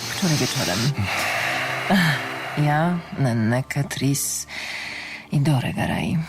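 An actress playing a sensual, teasing sorceress in love, speaking softly and languidly in an intimate audio drama. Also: female voice, rumbling low, animated, slow pace, soft background music, heavily acted.

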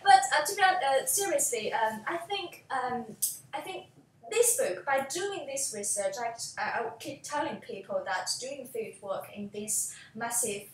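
Give me a woman in her thirties, native Chinese speaker, a bit high, recorded from across a booming room. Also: lively intonation, recorded from a distance. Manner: halting, many fillers and restarts. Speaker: author and researcher. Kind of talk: panel discussion. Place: office with bookshelves. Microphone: distant camera mic. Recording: echoey room from afar, faint rumble.

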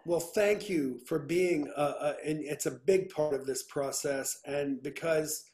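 A man's voice: a little high, unhurried. A middle-aged man, a composer, talking earnestly on a video call from his home, often restarting his sentences. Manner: earnest, animated, many restarts. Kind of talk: casual video call conversation. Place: home room with piano. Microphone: video call webcam.

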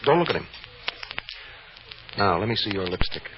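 A crafty male attorney on the run, terse and quietly commanding, with pitch that swings widely. It is old-time radio detective drama, muffled and clearly noisy. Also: big pitch swings, moderate pace. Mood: guarded, calm.

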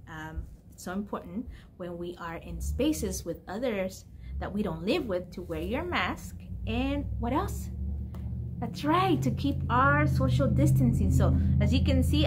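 Young woman hosting storytime, noisy room. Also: medium pitch. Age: young adult. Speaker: library storytime host. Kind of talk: casual introduction addressed to young children. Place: indoor library room with bookshelves. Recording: clear background room noise.

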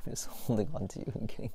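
A man playing a playful, affectionate, clingy young boyfriend in a soft, intimate, teasing ASMR roleplay, with keyboard clicks behind him. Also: lightly acted. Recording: faint keyboard and mouse clicking noise. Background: game sounds, keyboard typing and clicking.

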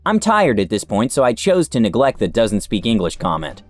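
A man narrating forum stories in a steady scripted read. His pitch rises and falls freely. The sound is dry and close, with faint music underneath. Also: brisk, no echo.

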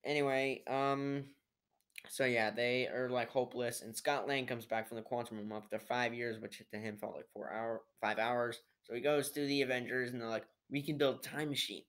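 Teen male vlogger, clean-toned and medium-pitched, talking off the cuff in a halting, rambling way, as in a homemade webcam review. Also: steady tone, fairly bright tone, clear, resonant tone. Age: teenager. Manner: hesitant, rambling, trailing off. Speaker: amateur video reviewer. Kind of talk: casual unscripted movie review vlog. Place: living room at home, indoors.

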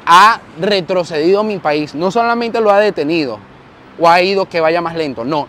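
Upbeat Venezuelan man, high voice. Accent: Venezuelan Spanish. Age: young adult. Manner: animated, gestures while walking and talking to camera. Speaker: vlogger. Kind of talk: walking vlog storytelling. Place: busy city street outdoors with traffic. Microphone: lapel mic on shirt, handheld camera. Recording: faint street and traffic noise.

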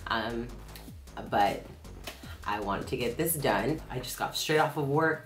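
A young woman with a deep, slightly hoarse, allergy-congested voice, chatting informally through a casual makeup tutorial. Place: small home art studio, indoors. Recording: roomy echo, music behind.

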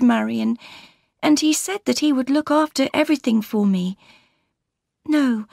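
A woman voicing a young earnest heiress, high and pleading. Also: gravelly, no echo, clean studio sound. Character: young gentle earnest woman, heiress near twenty-one.

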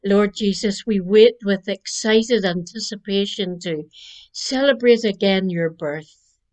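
Slow-spoken older woman minister. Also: mid-range voice. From Northern Ireland. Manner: slow, gentle preaching cadence. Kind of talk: Christmas Eve reflection. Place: living room at home. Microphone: webcam at desk distance.

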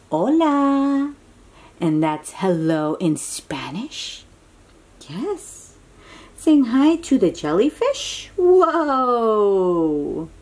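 Woman, children's storytime read-aloud, husky mellow voice, encouraging, exclaiming, chatty questions to little listeners. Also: mid-range voice. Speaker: children's picture book reader.

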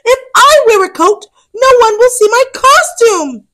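A woman voicing an upset young boy armadillo in a storybook, loudly whining and protesting. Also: very high-pitched, expressive, a little slow, pitched above the performer's own voice, heavily acted. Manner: loud, protesting.

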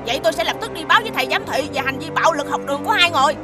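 High-voiced feisty cartoon schoolboy. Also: female voice, expressive, very fast. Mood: bold. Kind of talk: children's cartoon dubbing. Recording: music behind the voice.

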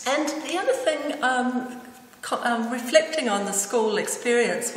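A woman's voice: a little high, fairly bright tone. A woman in her sixties with a New Zealand accent and Danish as her first language, telling personal memories to camera. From Auckland, New Zealand. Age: 60s. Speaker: interviewee. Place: indoors, hall-like room with hard surfaces. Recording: echoey reverberant room, clear background noise.

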